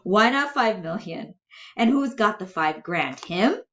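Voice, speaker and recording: A woman's voice: medium pitch, lively intonation, measured pace, fairly bright tone, lo-fi sound, pitched above the performer's own voice. A woman voicing a suspicious, domineering adult brother with a blunt, sarcastic, questioning manner, in a dramatic play reading. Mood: sarcastic, scornful.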